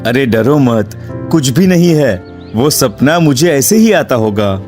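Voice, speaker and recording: A man playing an anxious yet curious young student in a dramatised cartoon story, with an expressive delivery. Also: medium pitch, unhurried, faint music behind. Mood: reassuring. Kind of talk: dramatised Hindi cartoon horror story dialogue.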